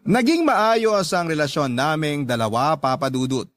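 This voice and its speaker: A man's voice: on the high side, lively intonation, normal speed, fairly bright tone. A man with a Filipino accent narrating a first-person radio drama story in an earnest, confessional way.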